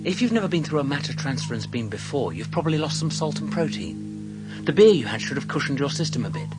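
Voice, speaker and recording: An actor playing a knowing, matter-of-fact space traveller with a dry, brisk manner in a radio comedy. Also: male voice, a little high, big pitch swings, loud music behind the voice.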